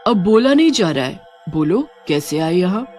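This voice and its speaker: A woman's voice: on the low side, big pitch swings, moderate pace, a little mellow, soft background music. A woman voicing a cunning, menacing witch from a horror story, taunting and commanding. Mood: stern. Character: menacing, cunning female witch haunting a phone booth. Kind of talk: Hindi animated horror story acting.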